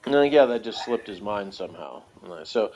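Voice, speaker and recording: A man's voice: lowish voice, expressive, fairly quick, a little mellow, slight background noise. A man chatting casually as a podcast reviewer, thinking aloud in a hesitant, hedging way. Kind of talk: casual chat, rambling commentary. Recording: dull lo-fi recording.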